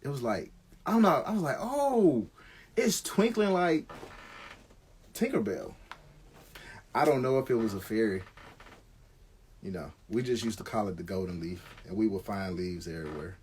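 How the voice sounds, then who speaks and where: A man's voice: on the low side, lively intonation, normal speed, long pauses, a little mellow, slight background noise. A middle-aged American man telling stories casually on a live stream, slangy and conversational, swearing freely.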